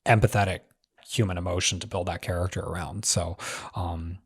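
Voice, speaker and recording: A man in a casual panel discussion, talking emphatically in halting phrases peppered with "like". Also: sits low, steady tone, slightly rough.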